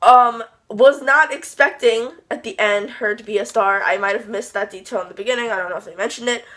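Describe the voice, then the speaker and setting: A woman's voice: fairly high pitch, a little fast, fairly bright tone. A young woman talking in a casual book review vlog, chatty and gushing, rambling with frequent asides.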